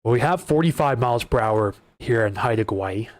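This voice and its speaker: A man hosting a live weather stream, talking through wind data and viewer questions in a casual, conversational way, often restarting his sentences, his voice starting to go hoarse. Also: medium pitch, lively intonation, fairly quick, no echo, studio quality. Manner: conversational, repeats words and restarts while reading data. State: voice getting hoarse. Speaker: weather livestream host.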